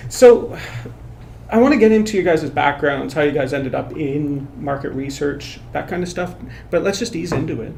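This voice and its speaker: A man's voice: a little high, a little slow, vocal fry, darkish tone, reverberant, slight background noise. A man hosting a panel podcast in a casual, encouraging way, often saying "right on". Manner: upbeat, encouraging, says "right on". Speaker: podcast host. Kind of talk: casual interview chat. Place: office meeting room.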